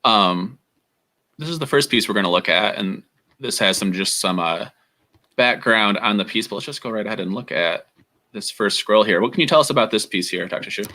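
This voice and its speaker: A man's voice: fairly low pitch, fairly quick, bright, forceful tone. A man working as a studio coordinator, interviewing a guest in a casual, upbeat way with many fillers.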